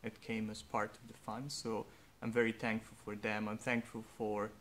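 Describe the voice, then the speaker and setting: A young man, a native Hungarian speaker, speaking slowly and earnestly in a reflective personal monologue with faint background noise. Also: fairly low pitch, level tone, a bit bright. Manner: slow, thoughtful, earnest. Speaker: vlogger.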